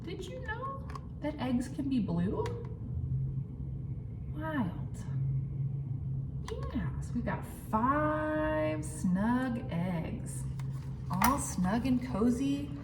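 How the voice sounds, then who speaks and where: High-pitched, very animated woman reading to children. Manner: animated, exaggerated suspense with audible gasps and questions to listeners; simple child-directed phrasing, counting aloud slowly. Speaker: children's storytime reader. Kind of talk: interactive picture-book read-aloud for young children. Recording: roomy, distant mic, clearly noisy background.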